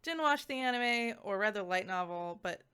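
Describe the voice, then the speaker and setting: A woman's voice: medium pitch, expressive, pushed and bright, clean tone. Female reviewer-streamer, mid-range voice, informal and conversational, stumbling through filler-heavy, unscripted-sounding commentary. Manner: informal, halting, filler-heavy speech with frequent "like". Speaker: video game reviewer and streamer. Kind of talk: casual, chatty spoken review with slides.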